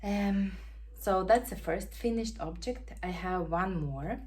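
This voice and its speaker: Slow-speaking woman with a Ukrainian accent. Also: mid-range voice, darkish tone. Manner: unhurried, searching for words, non-native grammar. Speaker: knitting podcast host. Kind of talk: casual show-and-tell chat. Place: home in Denmark. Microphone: camera.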